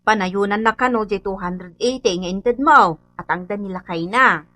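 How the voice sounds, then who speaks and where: A woman acting a doting, chatty Filipino wife in a radio comedy drama, expressive and coaxing. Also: medium pitch, normal speed, close to an everyday voice. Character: doting, chatty wife serving her husband food.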